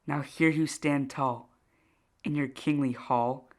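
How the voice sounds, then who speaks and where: A man's voice: mid-range voice, steady tone, slow, a little mellow. A man playing a melancholic boy in a puppet film, speaking formal, earnest verse. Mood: earnest, defiant. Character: melancholic rhyming boy, bitter yet earnest.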